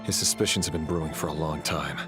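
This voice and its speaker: An actor playing a grim, sardonic knight and conspirator in a video game, speaking in a measured, formal, dryly menacing way. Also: male voice, rumbling low, fairly even intonation. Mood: stern, guarded. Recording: game dialogue with music behind.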